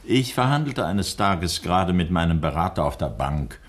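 A composed, middle-aged doctor in a radio crime play. His voice sits fairly low, and he speaks deliberately and firmly, with shrewd authority. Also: sing-song, husky, full character voice. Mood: measured narrating. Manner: measured, firm, deliberate. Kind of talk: 1960s German radio crime drama acting.

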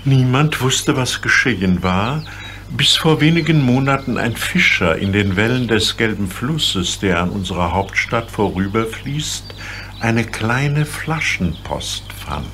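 An actor playing a dignified, solemn old emperor of China with stately, formal speech in a children's radio play. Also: male voice, mid-range voice, lively intonation, unhurried, noisy background. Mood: grave, measured.

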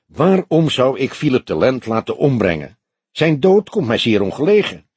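A man's voice: mid-range voice, big pitch swings, moderate pace, darkish tone, breathy edge, no room sound. A man voicing a stout, wealthy crime suspect in his late forties in an audiobook, polite and self-assured. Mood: indignant, composed. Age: 40s.

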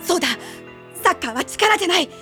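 A woman voicing a spirited, determined boy soccer player in a cartoon, earnest and energetic. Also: extremely high pitch, quick pace, heavily acted. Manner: earnest, energetic, shouting to teammates. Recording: music behind the voice.

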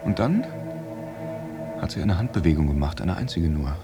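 An actor playing a jealous, tormented soldier in a radio play monologue, theatrical and shifting between murmurs and outbursts. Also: male voice, deep voice, expressive, moderate pace, music in the background. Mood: pained, furious. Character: jealous, tormented soldier brooding over his unfaithful lover.

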